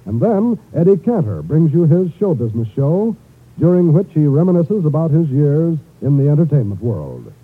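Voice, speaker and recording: A man's voice: a little high, very animated, moderate pace, breathy edge. An American man announcing in scripted 1950s network radio style. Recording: muffled vintage radio, music behind.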